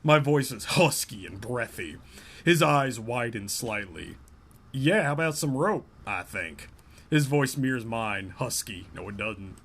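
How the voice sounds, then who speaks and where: Man, comedic dramatic reading, deadpan, lively pitch, cracks up at the text. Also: a little slow, husky. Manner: reads deadpan, breaks into laughter and asides. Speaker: podcast co-host reading a novel aloud.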